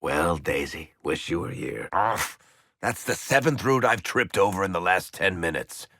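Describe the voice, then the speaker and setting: A male actor voicing a sardonic dwarf rogue and storyteller in a fantasy video game, with a gravelly, husky voice and a dry, teasing, wisecracking delivery. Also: sits low, lively intonation, normal speed, bright, forceful tone, dry recording, heavily acted. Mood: grumbling.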